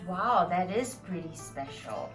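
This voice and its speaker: A woman's voice: fairly low pitch, expressive, unhurried, music in the background. A woman presenting interactive storytelling for children in an encouraging, instructive way. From Singapore. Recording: echoey room, distant mic, faint noise.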